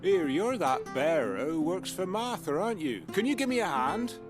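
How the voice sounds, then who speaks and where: Jittery, swooping British fishmonger. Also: male voice, fairly high pitch, sing-song, moderate pace, music in the background. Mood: wheedling. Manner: stammering, chatty, wheedling. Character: nervous, sheepish fishmonger begging a favour. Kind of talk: video game voice acting.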